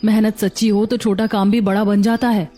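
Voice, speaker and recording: A woman playing a kind, hopeful young daughter-in-law who talks gently and reassuringly in a cartoon story. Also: mid-range voice, flat delivery, fairly quick, soft background music, natural voice. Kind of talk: Hindi cartoon story acting.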